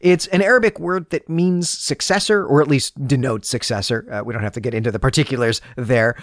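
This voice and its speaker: A man's voice: mid-range voice, brisk, no echo, clean studio sound. A man hosting a book club podcast, talking in a discursive, explanatory way with self-aware asides. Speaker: podcast host. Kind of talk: book club podcast commentary, semi-scripted.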